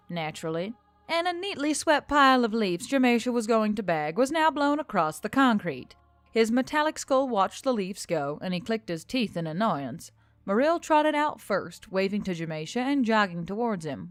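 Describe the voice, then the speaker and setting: A woman's voice: medium pitch, animated, moderate pace, no echo. A woman narrating a scripted story as host of an audio fiction channel.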